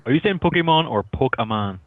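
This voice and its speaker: A man of medium pitch throws in casual podcast banter. His intonation swings in a lively way, and the audio is slightly dull, as over voice chat. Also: a little fast, dry recording.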